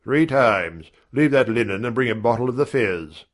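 A man playing an awkward, piqued gentleman of the house, vague and hesitant, in an audiobook. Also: mid-range voice, animated, normal speed, natural voice. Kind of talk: audiobook character dialogue.

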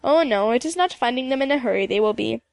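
Woman voicing a proud old storytelling woman, lightly high. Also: animated, fast talker, vocal fry, a little mellow, dry recording. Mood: composed, knowing. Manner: lilting old-country phrasing, repeated "oh yes". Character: elderly woman, composed, proud, sorrowful storyteller. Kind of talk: audiobook character dialogue.

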